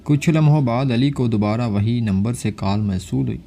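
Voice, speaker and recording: A man with a dark, mellow voice narrates a suspense thriller. His delivery is that of a scripted storyteller, with a relaxed, soft-edged tone at a medium pitch. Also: normal speed, creaky, a little hiss or hum.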